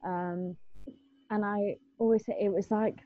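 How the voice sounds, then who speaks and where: A woman's voice: medium pitch, fairly even intonation, unhurried, no echo. A young adult woman with an English accent, casually recalling personal experiences in a group discussion. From England. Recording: thin phone-like band-limited sound.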